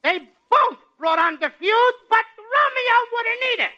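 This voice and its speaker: A man's voice: very high voice, lively intonation, a little slow. A man playing a mischievous, dim, whiny little boy in 1940s radio comedy. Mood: eager storytelling. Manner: childish, whiny, ungrammatical. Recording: noisy, muffled 1940s radio recording with clicks.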